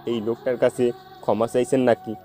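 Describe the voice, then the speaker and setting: A dark, mellow male voice a man acting plays a jilted, heartbroken young lover. The lines are melodramatic love-story dialogue over a faint music bed. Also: medium pitch, little pitch movement, fairly quick, close to an everyday voice. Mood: bitter, mocking. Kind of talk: amateur audio love-story drama.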